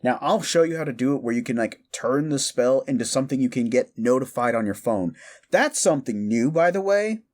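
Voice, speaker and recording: A man's voice: mid-range voice, animated, fairly quick, darkish tone, close to an everyday voice. A man playing a quirky, mischievous necromancer in a comedic audio roleplay, rambling eagerly and casually with slangy stammers. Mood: explaining eagerly.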